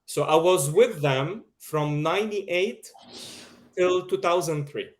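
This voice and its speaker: A man with a Lebanese accent talks slowly and carefully as an interview guest on a video call. His voice sits a little high and his delivery is measured. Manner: slow and measured, with soft laughs. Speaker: guest, media producer. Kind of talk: casual interview talk.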